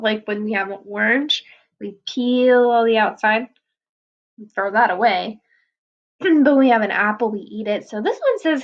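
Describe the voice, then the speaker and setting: A woman reading a picture book aloud to children, explaining the pages in a gentle, patient way. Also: on the high side, muffled recording.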